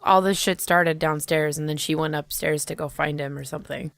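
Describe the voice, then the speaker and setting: A man with a gravelly, fry-laden voice brainstorms casually and crudely. He sounds loose and jokey, recorded dry and close. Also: fairly high pitch, steady tone, fairly quick, a bit bright, no room sound. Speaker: podcast co-host.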